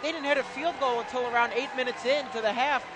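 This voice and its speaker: Very high-pitched male basketball analyst. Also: steady tone, fast talker, a crowd in the background. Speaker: radio color commentator. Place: indoor college basketball arena.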